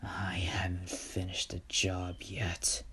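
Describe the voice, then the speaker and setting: A man voicing a cruel, vengeful fallen angel in a low, menacing whisper while telling a story. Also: completely flat delivery, slow, fairly bright tone, slightly rough, exaggerated voice.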